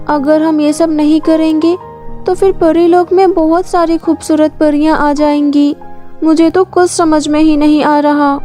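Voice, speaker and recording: A woman's voice: high-pitched, a little mellow, pitched above the performer's own voice. A woman voicing an arrogant, mischievous young fairy in a storybook tale, with a haughty, taunting manner. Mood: worried.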